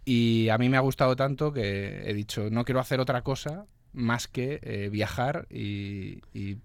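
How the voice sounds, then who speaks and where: A middle-aged man from Madrid speaks with the local Spanish accent in a mid-pitched voice. He talks casually as an interview guest, hesitating and doubling back on phrases. The sound is dry and close. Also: measured pace, creaky, dry recording. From Madrid, Spain. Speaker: interview guest, travel blogger and former sports journalist.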